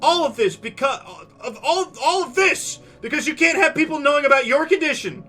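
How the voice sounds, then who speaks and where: A man doing a shrill, explosive dino girl. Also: steady tone, fast, soft background music, close to an everyday voice. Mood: screaming, distraught. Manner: shrill screaming outbursts. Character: volatile young dinosaur girl, tearful and explosive.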